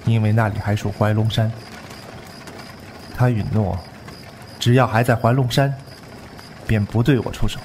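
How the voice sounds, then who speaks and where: 一名男演员在武侠广播剧中饰演一位沉着忠诚而冷酷的剑客，说话庄重简洁。. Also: male voice, fairly low pitch, steady tone, a little mellow, a little hiss or hum, close to an everyday voice. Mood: calm. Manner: grave, terse, measured. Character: composed, loyal, ruthless male swordsman. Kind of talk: Chinese wuxia audio drama acting.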